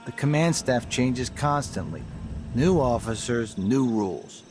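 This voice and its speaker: A man's voice: mid-range voice, expressive, measured pace, noisy background, lightly acted. A man playing a breezily callous, corrupt city guard in a video game, matter-of-fact and cynical. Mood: cynical, breezy.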